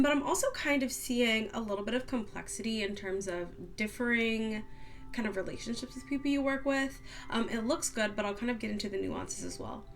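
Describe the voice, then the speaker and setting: A woman reading tarot cards in a casual, upbeat monologue, a little scratchy as she gets over a cold, with many soft "um"s. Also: medium pitch. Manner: soft, upbeat, lots of "um" and "kind of". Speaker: tarot card reader.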